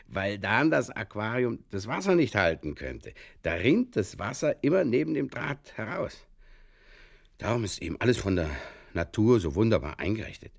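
A man playing an earnestly muddled Bavarian fishkeeper in a halting, circuitous comic radio monologue, with a Bavarian accent. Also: lowish voice, gravelly, dry recording, studio quality. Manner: halting, circuitous, literal-minded, over-explaining. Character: rambling, earnestly muddled Bavarian fishkeeper telling absurd tales.

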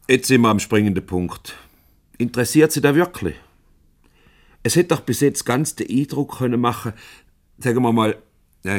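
Actor as urbane low-voiced Swiss German director. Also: male voice, lightly acted. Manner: measured, jovially formal. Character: urbane, probing company director, hospitable and self-assured. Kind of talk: 1970s comedy radio play acting.

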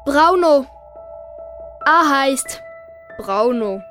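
Young boy, earnest and easily upset, very high pitch, children's audio drama, light music behind. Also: expressive, drawn-out words, a bit bright, full character voice. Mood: secretive, proud. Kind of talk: audio story dialogue for children.

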